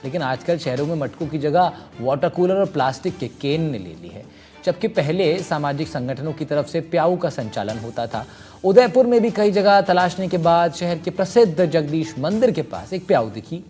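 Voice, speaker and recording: A man reading a scripted news introduction in a steady, formal manner. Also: on the high side, normal speed, faint music behind.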